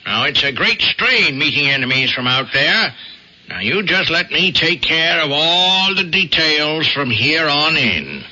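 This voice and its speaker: An actor playing an excitable, bossy older man in an old-time radio drama, emphatic and condescending. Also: on the high side, measured pace, heavily acted. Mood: patronizing. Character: excitable, bossy older male boss, stubbornly suspicious. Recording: dull old radio recording.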